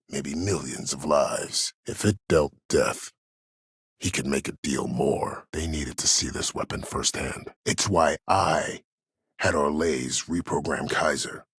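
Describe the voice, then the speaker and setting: An actor playing a disgraced, ruthless older military commander in a video game, speaking in a measured, formal, imperious and calculating way. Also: male voice, very deep voice, fairly bright tone, husky, dry recording, clean studio sound, heavily acted. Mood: proud, calculating. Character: disgraced ruthless old military commander, coldly self-justifying schemer. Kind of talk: dramatic game dialogue.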